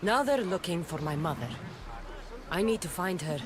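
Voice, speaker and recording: A woman's voice: fairly low pitch, lively intonation, normal speed, a crowd in the background, lightly acted. An actress playing a determined young female warrior who talks directly and dryly, over game music.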